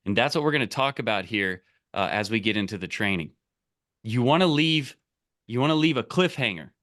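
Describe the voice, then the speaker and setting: A man's voice: fairly low pitch, expressive, a little fast, fairly bright tone. A man coaching on a call in a casual, emphatic and direct way, salesy and often checking in with the listener. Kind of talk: casual coaching, explaining and giving example scripts.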